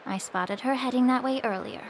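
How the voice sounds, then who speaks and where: A woman playing a shrewd, poised healer in an anime game, polished and gently commanding. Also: fairly high pitch, fairly quick, vocal fry, a little hiss or hum. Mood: wry.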